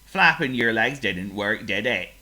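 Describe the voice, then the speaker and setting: A woman voicing a kindly, slow-thinking pig who speaks in a slow, ponderous, cheerful way. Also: a bass voice, lively intonation, sharp tone, pitched above the performer's own voice, exaggerated voice.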